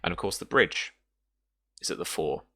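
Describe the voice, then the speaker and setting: A man narrating a tabletop role-playing game as game master in an improvised, halting way, pausing to find his words. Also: sits low, expressive, bright, forceful tone.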